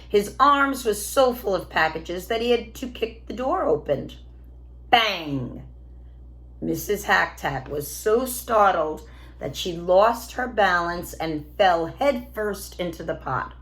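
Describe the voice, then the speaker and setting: A woman reading a children's storybook aloud as a teacher, in an expressive narrating style. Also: lowish voice, slow pace.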